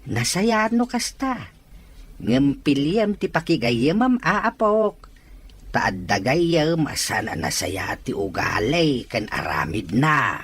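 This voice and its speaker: A gentle old grandfather in an audio drama, played by a man. He speaks slowly with lively rises and falls, as if advising with care. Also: on the high side, a little hiss or hum, full character voice. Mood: kindly advising. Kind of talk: Ilocano audio drama acting.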